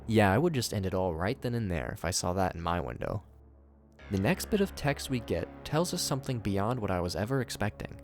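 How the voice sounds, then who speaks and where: Male narrator, lower register, scripted review commentary, light music bed underneath. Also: sing-song, a little fast. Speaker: YouTube narrator reviewing horror series.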